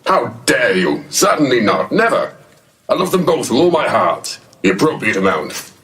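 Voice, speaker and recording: A man's voice: medium pitch, sing-song, normal speed, fairly bright tone. An actor playing a protective, earnest old grandfather who is easily flustered, in an audio play. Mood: flustered, indignant. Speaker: actor in an audio comedy drama.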